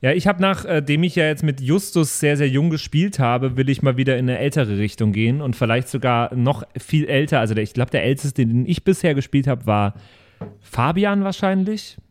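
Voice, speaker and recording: A man chatting casually in a group as a tabletop role-playing player on a podcast, thinking aloud in a halting way. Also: mid-range voice.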